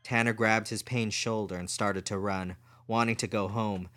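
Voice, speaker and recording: Guy reading an audiobook story, kinda low voice, scripted narration. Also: fairly even intonation, moderate pace, fairly bright tone.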